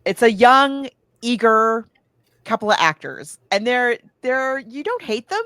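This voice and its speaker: A woman podcast host chatting casually in an enthusiastic, halting way as she recaps. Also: fairly high pitch, very animated, fairly bright tone, clear, resonant tone, no room sound, clean studio sound. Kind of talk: casual chat recapping a film.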